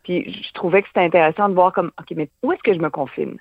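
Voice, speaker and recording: A woman's voice: fairly low pitch, fast talker, dry recording. A woman in her forties with a Quebec French accent, a guest speaking candidly and earnestly, repeating phrases for emphasis. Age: 40s. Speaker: guest author. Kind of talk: candid reflective conversation. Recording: tinny dry phone-like line.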